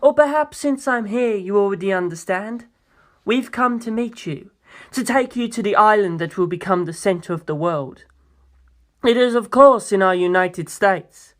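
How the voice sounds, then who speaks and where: A narrator voicing a suave, grandiose young male president with pompous, formal, drawn-out phrasing in an audiobook. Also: mid-range voice, lightly acted. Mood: smug, ceremonious.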